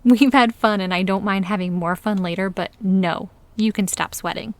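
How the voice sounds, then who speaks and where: A woman's voice: mid-range voice, steady tone, normal speed, no room sound, lightly acted. An actress playing an independent, teasing woman with a casual lover in an audio drama, dry and frank.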